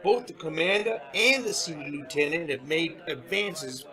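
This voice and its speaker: An actor playing an authoritative military general in charge of a project, brisk and commanding, in radio drama style. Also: male voice, a little high, animated, bright, forceful tone, soft background music. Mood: genial, hopeful. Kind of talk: old-fashioned radio drama acting.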